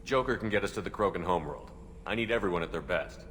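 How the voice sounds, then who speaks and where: A human starship commander in a video game. He is low-pitched and brisk, steady and authoritative in short plain statements. The sound carries a long reverb. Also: soft background music. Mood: steady, concerned. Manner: matter-of-fact, brief statements. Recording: long reverb, faint background noise.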